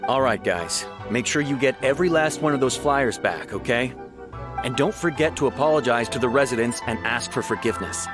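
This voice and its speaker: An actor playing a cautious but soft-hearted town officer in a video game, talking in a hesitant, conversational manner. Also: male voice, mid-range voice, brisk, music in the background, close to an everyday voice. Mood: cautious, firm.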